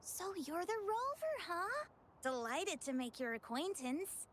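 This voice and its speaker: High, lively, teasing young woman. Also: unhurried, slight background noise, exaggerated voice. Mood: playful. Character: perky, teasing young woman, antiques expert. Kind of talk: video game voice acting.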